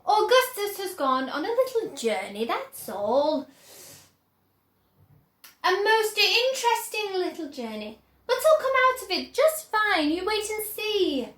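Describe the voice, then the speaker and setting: Woman doing an eccentric male candy maker, theatrical, bright, bouncing pitch, echoey room. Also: very animated, measured pace, exaggerated voice. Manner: theatrical, soothing yet giddy.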